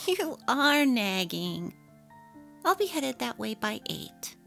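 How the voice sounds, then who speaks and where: A woman's voice: fairly high pitch, sing-song, slow, vocal fry, a bit bright, full character voice. A woman voicing an apologetic, evasive young working wife and mother in a dramatic story reading. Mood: placating, evasive. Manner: apologetic, placating. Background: faint music.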